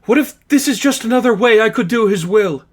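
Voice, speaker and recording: Man as troubled nun, raised pitch, pleading, tearful, anguished, story reading. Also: fairly quick. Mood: anguished doubt. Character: distressed tearful nun doubting her religious calling.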